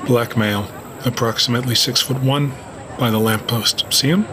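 An actor playing a cynical, stern police officer in an audio drama, dry and skeptical. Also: male voice, mid-range voice, steady tone, moderate pace, close to an everyday voice. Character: cynical, stern male police officer, self-styled realist. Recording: crowd noise behind. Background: crowd.

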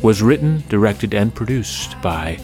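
A man reading the credits of a radio drama in a measured, formal way. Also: on the low side, expressive, a little mellow, faint music behind. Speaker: credits announcer. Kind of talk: scripted narration.

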